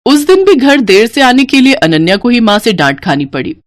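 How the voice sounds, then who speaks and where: A woman narrating a scripted moral bedtime story in an expressive storytelling manner. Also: a little high, brisk, no echo.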